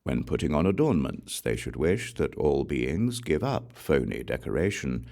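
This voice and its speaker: A man reading scripture as a scripted audiobook, in a measured, solemn recitation with deliberate pauses between phrases. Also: rumbling low, big pitch swings, gravelly, warm tone. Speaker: audiobook reader.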